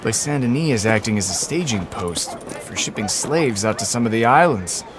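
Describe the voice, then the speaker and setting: An actor playing a humble, kindly monk in a video game cutscene, earnest, courteous and a little hesitant. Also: male voice, fairly low pitch, expressive, moderate pace, over music, natural voice. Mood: troubled, earnest. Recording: noisy street ambience behind dialogue.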